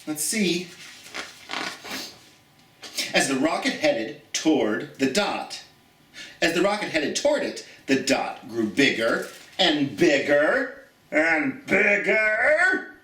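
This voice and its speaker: A man's voice: on the high side, big pitch swings, takes it slowly, long pauses, sharp tone. An American man hosting a children's storytime, reading and chatting to viewers in a theatrical manner, drawing out key words for emphasis. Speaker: storytime host. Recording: roomy, echoey, distant from the mic.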